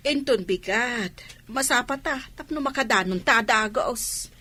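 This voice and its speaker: A woman's voice: fairly high pitch, a little slow, pushed and bright. A woman playing a practical, friendly village woman in a radio drama. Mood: practical, coaxing. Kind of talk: radio comedy drama dialogue.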